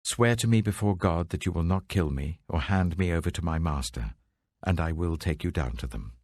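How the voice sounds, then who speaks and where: Man acting a weary, husky slave. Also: deep voice, normal speed, a little mellow, no room sound, clean studio sound. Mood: wary bargaining. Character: abandoned young Egyptian slave, weary and wary.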